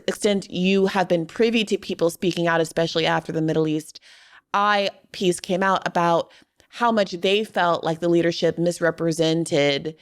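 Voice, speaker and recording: A woman hosting a political interview, framing long, carefully qualified questions in a deliberate way. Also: lowish voice, smooth. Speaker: podcast host, interviewer.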